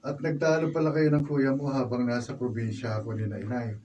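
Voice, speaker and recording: An actor playing a supportive, reassuring husband in a Filipino radio drama. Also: male voice, medium pitch, flat delivery, brisk, highs cut off, music in the background, natural voice. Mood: questioning. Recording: distant mic, clear background noise.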